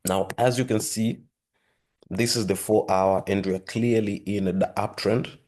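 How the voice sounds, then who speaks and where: Hoarse deep-voiced man explaining trading charts. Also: a little slow. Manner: steady explanatory, repeats "with that being said"; frequent throat-clearing. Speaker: forex trading channel host. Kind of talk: screen-share trading lesson.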